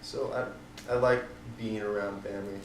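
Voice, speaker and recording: A young man from the Netherlands answering interview questions casually, pausing often with ums and likes. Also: on the low side, steady tone, echoey room, recorded from a distance, slight background noise, low-quality recording. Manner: hesitant, many ums and likes.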